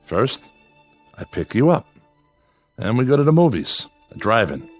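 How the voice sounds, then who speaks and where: A man's voice: mid-range voice, big pitch swings, a little slow, dry recording, faint music behind. An actor playing a lonely man devoted to his android companion, by turns yearning and defensive, in dramatic radio style. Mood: tender, eager. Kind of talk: dramatic radio acting with confessional narration.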